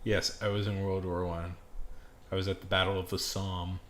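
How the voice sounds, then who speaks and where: Bright-voiced, excitable man in his thirties. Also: low voice, moderate pace, echoey room, slight background noise. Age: 30s. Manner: rambling, excitable, self-deprecating. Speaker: livestream host. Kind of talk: casual livestream chat.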